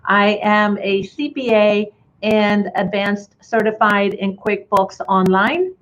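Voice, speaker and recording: A woman's voice: medium pitch, slow pace, a bit bright, lo-fi sound, slight background noise. A woman from Chile with a slight Chilean Spanish accent, presenting a bookkeeping webinar in a friendly way with many fillers. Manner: friendly, filler-heavy, restarts often.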